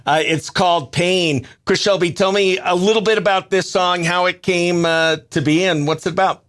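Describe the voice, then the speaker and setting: A man with a high, bright, smooth voice hosts country morning radio. He works like a showy announcer, pumping up each introduction and bantering playfully with listeners. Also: fairly even intonation, normal speed, dry recording, clean studio sound. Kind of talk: excited live radio presenting and chat.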